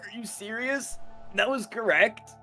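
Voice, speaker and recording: A man's voice: high voice, a little slow, bright, forceful tone. A man chatting casually as he co-streams a game. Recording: faint music behind. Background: faint game music.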